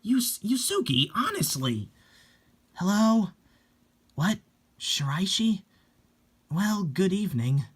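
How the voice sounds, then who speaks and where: A man's voice: high voice, sing-song, slow, pauses often, husky, pitched above the performer's own voice, heavily acted. A man voicing an anxious, curious teenage schoolgirl who is easily startled and hesitant, in a story reading. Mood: flustered, surprised.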